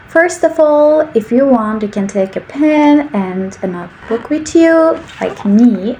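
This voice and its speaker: A young woman with a Turkish accent, in her twenties, leads a slow English practice session. She talks in careful, simple sentences at a gentle, deliberate pace. Her voice sits a little high, and a faint background hum runs under the recording. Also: poor audio. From Turkey. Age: 20s. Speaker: English teacher.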